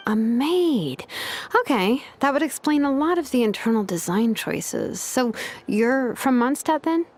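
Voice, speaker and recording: A woman's voice: fairly high pitch, animated, a little slow, slight background noise. A woman playing a curious young inventor in a video game, keen, casual and analytical, with music behind her. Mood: musing. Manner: musing, analytical. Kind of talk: game voice acting.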